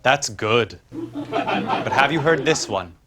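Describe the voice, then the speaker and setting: An actor on a theater stage playing a stern, sardonic man who taunts a condemned killer in measured, pointed phrases. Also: mid-range voice, very animated, a loud crowd. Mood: mocking. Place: theater stage before an audience.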